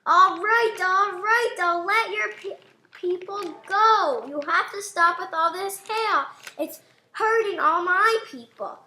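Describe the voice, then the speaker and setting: A woman playing a shrill, pleading Pharaoh. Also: takes it slowly, pushed and bright, roomy sound, exaggerated voice. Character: panicked, pleading, stubborn Pharaoh, a male ruler.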